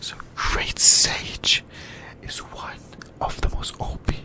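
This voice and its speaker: A host whispering an ASMR discussion in a breathy, unvoiced voice, speaking in halting short phrases and repeating words. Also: sharp tone. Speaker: host of an anime discussion channel.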